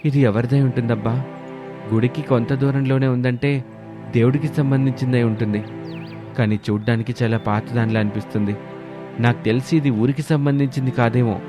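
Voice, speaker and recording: A man's voice: medium pitch, steady tone, fairly quick, music in the background, lightly acted. Man as a humble, crafty village orphan. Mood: curious. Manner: deferential, pleading.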